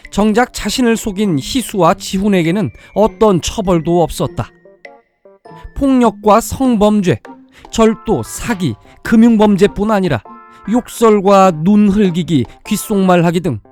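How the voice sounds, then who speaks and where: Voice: mid-range voice, a little mellow. A storyteller reading a dramatic science-fiction tale in a scripted narrating style. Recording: music under the voice. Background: background music.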